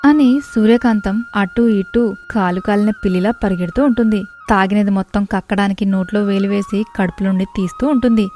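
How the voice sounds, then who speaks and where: A woman's voice: mid-range voice, brisk, music in the background. A woman narrator reading a scripted story.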